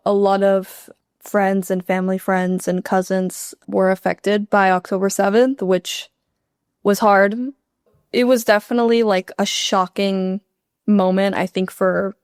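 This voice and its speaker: A woman's voice: medium pitch, little pitch movement, measured pace, smooth, dry recording, clean studio sound. A young woman from Canada, a university student, answering interview questions in a chatty way with lots of "like.". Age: young adult. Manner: chatty, frequent "like" fillers.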